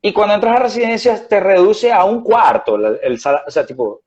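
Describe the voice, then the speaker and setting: A man with a Venezuelan accent speaks in a fairly high voice. He explains at length, restarting his sentences and filling gaps with "eh". The audio is muffled and lo-fi. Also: animated, a little fast, a little mellow. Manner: rambling, frequent restarts and fillers. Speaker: guest doctor. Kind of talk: conversational interview.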